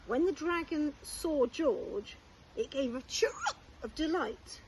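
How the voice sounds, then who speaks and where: A woman with a fairly high voice reads a bedtime story for young children. Her delivery is expressive and very unhurried, with deliberate pauses between phrases. There is some light noise in the amateur recording. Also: rough recording. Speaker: primary school teacher reading a bedtime story.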